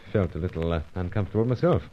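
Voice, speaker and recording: An actor playing an unflappable secret agent in a radio spy adventure, with clipped, understated phrasing. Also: male voice, sits low, animated, quick pace, a little hiss or hum. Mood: dry, understated. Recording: dull lo-fi recording.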